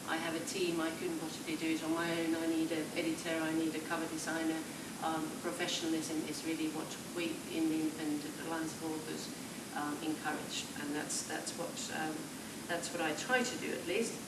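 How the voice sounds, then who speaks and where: A woman's voice: deep voice, completely flat delivery, a little fast, few pauses. A woman in her fifties from Finland, giving a hesitant panel talk with many fillers and restarts, recorded from far off in an echoey hall. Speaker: panel speaker, author. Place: festival event hall. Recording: echoey room from far off, loud noise.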